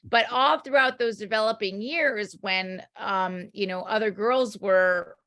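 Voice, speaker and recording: Earnest woman guest, halting and thoughtful. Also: medium pitch, fairly bright tone, no echo. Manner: hesitant, many fillers and restarts, earnest. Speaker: guest, doctor and author. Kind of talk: casual interview chat.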